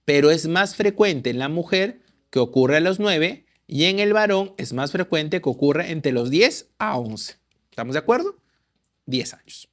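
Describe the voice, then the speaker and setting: Man, high voice, exam-prep tutor who quizzes, then answers. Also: expressive, moderate pace, fairly bright tone. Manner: quizzing, answers own questions. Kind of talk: lecturing through exam questions.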